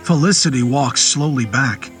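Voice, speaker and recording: A man tells a scripted horror tale as a podcast narrator. His delivery is deliberate, literary and descriptive, at a medium pitch, with music and sound effects behind him. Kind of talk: scripted dramatic story narration. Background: music and rain sound effects.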